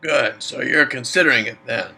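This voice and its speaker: A man's voice: medium pitch, moderate pace, bright, forceful tone, breathy edge, exaggerated voice. A man playing an indignant old father. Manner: indignant, rambling, folksy phrasing. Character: suspicious old father, about seventy-six, indignant. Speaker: prank caller.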